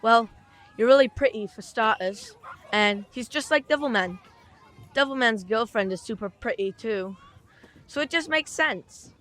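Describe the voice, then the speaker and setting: A woman's voice: on the high side, animated, a little slow, fairly bright tone. A woman voicing a chatty, cheeky little British boy in an audio drama, with a frank, rambling, childlike manner. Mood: eager, frank. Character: chatty, cheeky, earnest little boy who loves comics.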